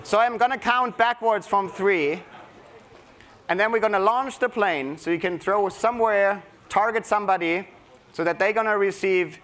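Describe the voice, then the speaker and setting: High-voiced German man leading a workshop. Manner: energetic, coaching the audience through an exercise. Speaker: workshop speaker. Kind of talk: interactive keynote talk.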